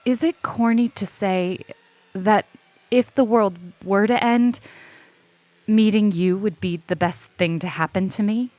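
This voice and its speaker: Slow, guarded woman playing a scientist in love. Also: mid-range voice, darkish tone, dry recording, close to an everyday voice. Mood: tender, hesitant. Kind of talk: story character dialogue reading.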